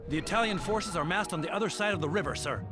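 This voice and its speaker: A man's voice: sits high, fast, close to an everyday voice. An actor playing an eager, dutiful soldier giving clipped, deferential reports to his commander in a video game. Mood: urgent. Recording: loud music under the voice. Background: loud game music.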